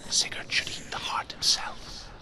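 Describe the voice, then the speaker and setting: An actor playing a solemn storyteller in a video game, telling Norse legends in a hushed, breathy, measured way. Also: male voice, in a whisper, natural voice. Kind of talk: hushed myth narration.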